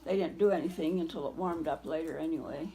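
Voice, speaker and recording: An older woman reminiscing casually with family in an unhurried storytelling manner. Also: low-pitched. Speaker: family elder sharing memories.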